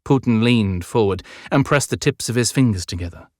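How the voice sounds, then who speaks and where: A man's voice: fairly low pitch, fairly quick, darkish tone, dry recording, studio quality. A man narrating an audiobook in a scripted, dramatic storytelling style.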